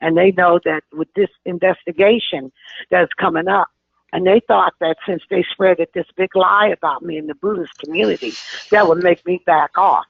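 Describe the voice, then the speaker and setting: A woman's voice: lowish voice, normal speed, vocal fry, breathy edge, no room sound. Insistent woman in her sixties on phone. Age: 60s. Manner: forceful, insistent, talks over others. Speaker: caller on an activist conference call. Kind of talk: impassioned phone call. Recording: tinny phone line.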